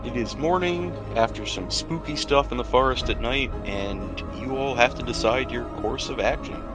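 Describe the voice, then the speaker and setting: A man's voice: mid-range voice, moderate pace, over music. A man narrating a tabletop role-playing game as game master, describing scenes with casual asides and small hesitations.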